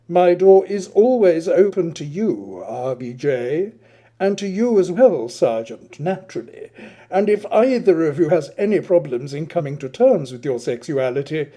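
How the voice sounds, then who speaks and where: A flamboyant, teasing schoolboy acted out by a male reader holds forth in a novel reading. He is theatrical and affected, slightly high and mellow, drawing his florid phrases out slowly with grandiose wit. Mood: arch, defiant. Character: flamboyant, witty, camp teenage public schoolboy, teasing and grandiose.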